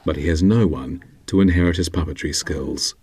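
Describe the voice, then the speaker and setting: A man's voice: very deep voice, moderate pace, darkish tone, breathy edge. A man reading scripted documentary narration as the narrator.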